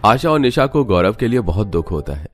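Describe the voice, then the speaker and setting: A man's voice: on the low side, very animated, a little fast, soft background music. A man reading scripted narration for a children's moral tale as the storyteller.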